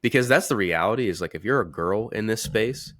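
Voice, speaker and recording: A man's voice: on the low side, animated, a little fast, a bit bright, dry recording, clean studio sound. A man hosting a podcast in a casual, informal, slangy way. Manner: informal, slangy, occasional swearing. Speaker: podcast host and men's confidence coach.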